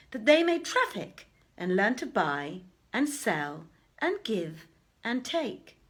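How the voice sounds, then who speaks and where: A woman voicing a courteous, dignified old male wazir in a storybook reading, with formal, measured speech. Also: mid-range voice, a bit bright, heavily acted.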